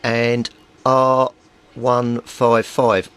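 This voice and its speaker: A man's voice: medium pitch, completely flat delivery, extremely slow, fairly bright tone. A man giving an informal, methodical workbench explanation as a hobbyist repairer. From Britain. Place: small indoor home workbench. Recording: clearly noisy home recording.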